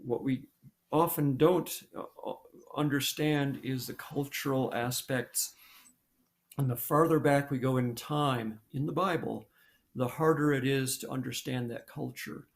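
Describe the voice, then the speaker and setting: A man in his sixties, a scientist guest, answering at length over a video call, halting with many fillers and restarts. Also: medium pitch, steady tone, creaky. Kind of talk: thoughtful interview answer. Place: home room with posters.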